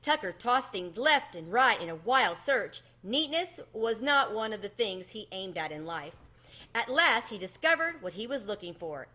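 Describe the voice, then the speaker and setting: A woman narrates a children's storybook aloud. Her voice sits fairly high and moves up and down a great deal, giving the reading an expressive shape. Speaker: storybook reader.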